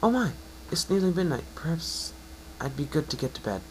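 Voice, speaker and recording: A man's voice: fairly high pitch, very animated, fairly quick, a little hiss or hum, voice pushed up, rough recording. A man voicing a kind, studious young female unicorn pony in an amateur story reading. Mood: surprised.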